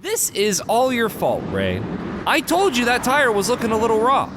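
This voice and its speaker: Wisecracking male ghost hunter played by a man, high voice, hugely varied intonation, sarcastic, audio drama, noisy street. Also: sing-song, normal speed, music in the background. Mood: exasperated. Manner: sarcastic, quippy. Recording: noisy street traffic ambience.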